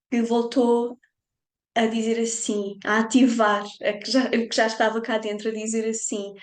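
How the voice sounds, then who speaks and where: A woman's voice: a little high, a little mellow, reverberant. A woman podcast host with a European Portuguese accent, speaking heartfelt and effusive in a casual interview.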